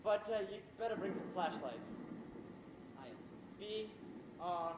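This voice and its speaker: A man's voice: high-pitched, expressive, measured pace. A man playing a scheming middle-aged playwright in a stage thriller, dryly sardonic and politely hesitant. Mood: feigned calm. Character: scheming middle-aged male playwright, suave, wry and murderous. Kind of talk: theatrical stage thriller acting. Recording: echoey, very noisy amateur stage play recording.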